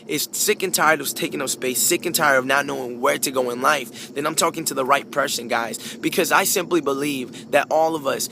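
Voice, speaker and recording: Earnest man with a bright, forceful voice. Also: mid-range voice, brisk, vocal fry, few pauses, a little hiss or hum. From Peru, moved abroad at age five. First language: Spanish. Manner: earnest, repetitive, often addressing listeners directly. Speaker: motivational video speaker. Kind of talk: motivational talk to camera.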